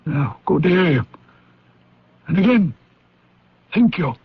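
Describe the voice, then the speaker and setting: An actor playing a senior British intelligence chief in a radio drama, formal and authoritative, pausing as he gives orders. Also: sits high, animated, a little hiss or hum. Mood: grave, instructing. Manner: formal, hesitant pauses, authoritative. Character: senior British intelligence chief, courteous but commanding knight. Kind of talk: old-time radio drama acting. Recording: muffled radio drama recording.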